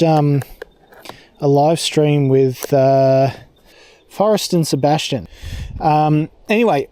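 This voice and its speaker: A man's voice: a little high, dark tone. An Australian man rambling casually and self-deprecatingly as he vlogs outdoors while working on a task. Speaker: vlogger and amateur radio operator. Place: outdoors, portable radio setup. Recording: faint background noise with clicks.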